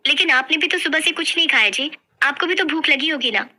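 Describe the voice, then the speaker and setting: A woman's voice: high voice, a little fast, sharp tone, voice pushed up. A woman voicing a poor, devoted village wife and mother, pleading and deferential, in a folk story. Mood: worried. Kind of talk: dramatized Hindi folk story acting.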